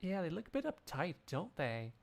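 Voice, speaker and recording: A man's voice: a little high, sing-song, moderate pace, creaky, background noise, voice pushed up. A man voicing a dim, dreamy young female flight attendant with an airy, drawling, vague way of talking in a live game fandub. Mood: dreamy, unbothered.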